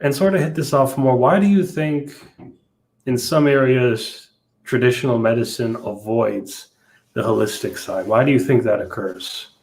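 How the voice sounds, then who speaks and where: A man with an average-pitched voice hosts a live interview. He speaks conversationally, as a host does with a guest. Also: normal speed, darkish tone.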